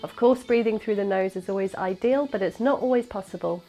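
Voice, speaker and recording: A young woman teaching a gentle yoga class, her voice congested and nasal from a cold, guiding slowly and calmly. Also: mid-range voice, soft background music, poor audio. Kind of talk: guided yoga class instruction.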